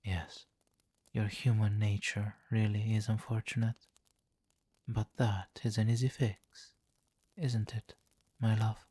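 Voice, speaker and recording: A domineering vampire king, acted by a man for an ASMR roleplay, speaks very slowly in a low voice. He is seductive and condescending, giving soft commands in a hypnotic, possessive murmur. The delivery stays measured and close to a whisper, with little rise or fall. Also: little pitch movement, slightly rough, no room sound. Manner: slow, coaxing, possessive, softly commanding, often near whisper.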